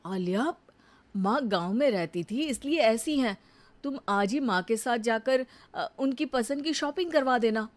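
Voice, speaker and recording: Woman doing a calm young husband. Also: on the high side, measured pace. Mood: coaxing. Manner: calm, reasoning. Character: young husband, patient dutiful son. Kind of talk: storybook cartoon voice acting.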